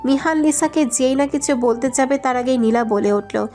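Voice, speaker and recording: A woman reads a romantic story as its narrator. Her voice is on the high side, and she delivers scripted prose. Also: moderate pace, darkish tone, faint music behind.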